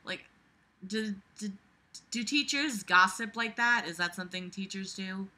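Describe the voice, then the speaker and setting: A woman with a very bright, forceful voice at an ordinary pitch reads a novel aloud. She breaks off to mock it with sarcastic, exasperated commentary and swears freely. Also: unhurried. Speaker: book reader and commentator.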